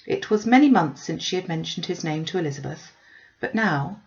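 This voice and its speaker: A woman's voice: fairly low pitch, expressive, brisk, highs cut off. A woman reading a classic novel aloud as scripted audiobook narration. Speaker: audiobook reader.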